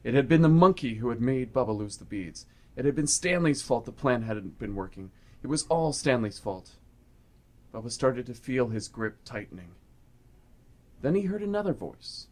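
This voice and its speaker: A man's voice: medium pitch, lively intonation, moderate pace, darkish tone. Man narrating a dramatic adventure story. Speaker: radio play narrator.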